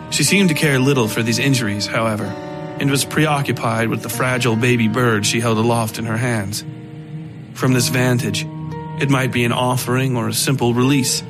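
Man narrating horror fiction over music. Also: medium pitch.

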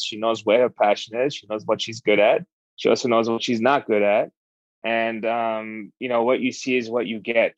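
Relaxed man in his thirties telling his story. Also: mid-range voice, dry recording, lo-fi sound. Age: 30s. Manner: relaxed, rambling with fillers. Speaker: interviewee. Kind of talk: casual personal storytelling.

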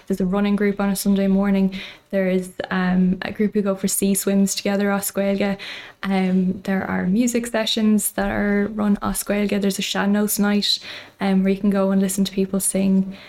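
A woman with an Irish accent talks casually as a podcast guest. Her voice carries a gravelly fry, and she chats easily and laughs often. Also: medium pitch, darkish tone. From Ireland. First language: English. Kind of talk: casual chat.

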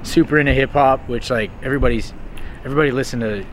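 A young man from Texas talks loosely in an outdoor interview, his sentences wandering and restarting with a steady stream of fillers. His voice sits at a middle pitch, and faint car and street noise runs behind him. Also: quick pace, low-quality recording. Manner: rambling, hesitant, heavy use of "like". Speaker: music artist interviewed. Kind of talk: casual interview talk.

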